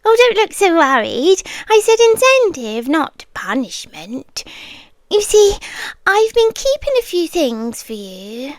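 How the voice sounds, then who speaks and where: A woman voicing a possessive, sweetly menacing queen of the forest, who speaks softly, slowly and coaxingly, often in a whisper. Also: high voice, very animated, dry recording. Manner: soft, slow, whispery and coaxing. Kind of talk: scripted audio roleplay.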